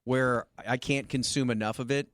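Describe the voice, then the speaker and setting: A man's voice: mid-range voice, fairly even intonation, moderate pace, fairly bright tone, dry recording, studio quality. A man on a podcast panel, joking and wisecracking with the others. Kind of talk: casual podcast chat.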